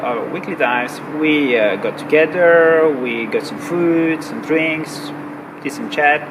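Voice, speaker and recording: A man with a Bulgarian accent tells his own story in a slightly high voice. He pauses often, fills gaps with "uh" and repeats words as he searches for them. He sits some distance from the mic, with steady noise behind him. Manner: halting, frequent "uh" fillers and repeated words. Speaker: recreational diver. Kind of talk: personal story told to camera.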